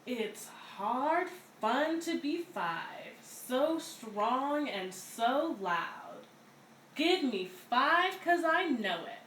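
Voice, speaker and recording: A woman reading a children's picture book aloud in an expressive, deliberate way, as a story-time reader. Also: high-pitched. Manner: slow, deliberate, animated delivery for young children. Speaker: read-aloud story reader at a community center. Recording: roomy, distant mic, faint background noise.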